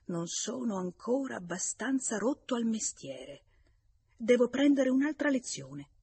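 A woman's voice: on the high side, lively intonation, dark tone, husky, no echo, voice pushed up, exaggerated voice. A woman voicing a nervous, apologetic young man who stammers and repeats himself in an audiobook. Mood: frustrated. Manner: stammering, halting, repeating words.

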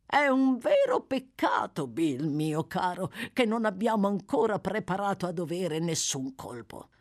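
Woman acting wheedling old villain, animated pitch. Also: takes it slowly, breathy edge, heavily acted. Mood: fawning, gleeful. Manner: oily, ingratiating, exclamatory. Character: wheedling, fawning old male criminal schemer.

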